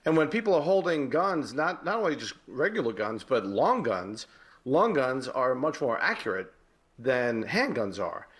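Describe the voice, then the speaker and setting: A man with an American accent, a former police detective giving halting, explanatory commentary in a live news interview. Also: mid-range voice, very animated, a bit bright.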